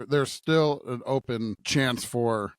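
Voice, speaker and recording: Mid-pitched male co-host, very dry sound. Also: slow, clean tone, no room sound. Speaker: podcast co-host. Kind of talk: casual Bible discussion.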